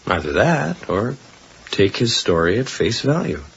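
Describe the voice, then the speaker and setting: Low-voiced, skeptical doctor. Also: male voice, big pitch swings, unhurried, vocal fry, fairly bright tone, slightly rough, heavily acted. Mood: skeptical, dismissive. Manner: dismissive, matter-of-fact. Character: skeptical, unimpressed male psychiatrist colleague. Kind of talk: radio drama acting. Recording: dull, noisy 1980s radio broadcast.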